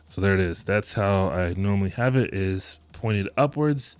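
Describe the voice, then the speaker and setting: Relaxed deep-voiced man streaming live. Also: moderate pace, clear, resonant tone, dry recording, faint music behind. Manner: relaxed, rambling, chuckles often. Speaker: live-streaming tech deals host. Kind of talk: casual live-stream chat. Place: home streaming room. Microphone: boom mic close to mouth, headphones on.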